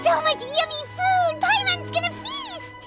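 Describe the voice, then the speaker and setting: A woman's voice: a little slow, bright, forceful tone, heavily acted. A woman voicing a tiny, childlike fairy companion in a game, bubbly and cheeky, who speaks of herself in the third person. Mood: delighted. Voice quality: squeaky, childlike. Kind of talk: animated game dialogue. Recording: game music behind the voice.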